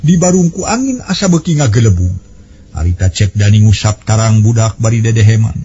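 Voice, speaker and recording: A man's voice: fairly low pitch, animated, fairly quick, mellow, husky. A man with a West Javanese accent telling a story in dramatic radio style, recorded on old cassette. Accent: Sundanese-language West Javanese.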